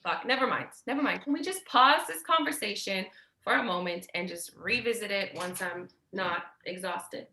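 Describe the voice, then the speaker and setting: Actress as bright-voiced weary older sister. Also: female voice, medium pitch, normal speed, reverberant, a little hiss or hum. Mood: defensive, irritated. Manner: hesitant, guarded, blunt swearing. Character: tired, protective, foul-mouthed older sister. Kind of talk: naturalistic staged play reading.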